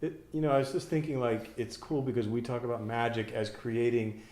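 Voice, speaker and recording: A male interviewer who talks fast and asks curious questions. He hesitates often with "like" and "I mean." The sound is roomy and echoey. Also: mid-range voice, fairly even intonation, a little mellow. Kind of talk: casual interview.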